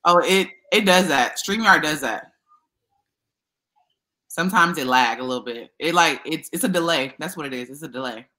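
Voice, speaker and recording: A woman hosting a casual livestream, chatty and expressive, talking to her viewers as y'all. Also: low voice, normal speed. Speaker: YouTube livestream host.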